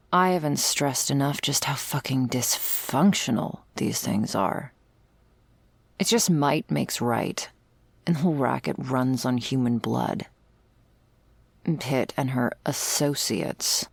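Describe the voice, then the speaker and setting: A woman playing a jaded, sardonic vampire's servant in an intimate ASMR roleplay, talking in a wry, world-weary, gravelly way. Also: very deep voice, dry recording, exaggerated voice. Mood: wry, cynical. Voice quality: very creaky, gravelly. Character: jaded, sardonic female vampire's servant explaining undead lore.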